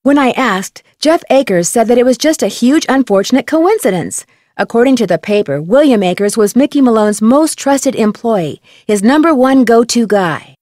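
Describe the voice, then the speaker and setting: A woman playing a young amateur detective in a video game, speaking with an American accent in an articulate, measured, matter-of-fact way. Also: fairly high pitch, animated, darkish tone, no room sound, clean studio sound, close to an everyday voice. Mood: informative.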